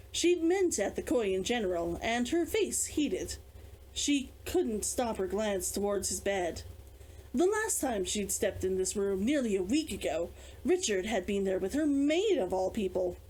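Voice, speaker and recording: A woman reading a fantasy novel aloud as its author, with expressive storytelling. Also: mid-range voice, unhurried, poor audio. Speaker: author reading own book.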